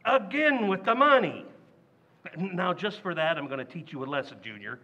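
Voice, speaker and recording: A man performing a blustering, tight-fisted family man in an old-time radio comedy, loud and indignant. The voice sits high and swings widely, with a bright, forceful edge. The recording sounds dull and lo-fi. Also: animated, moderate pace, exaggerated voice. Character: blustering stingy working-class dad. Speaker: radio actor.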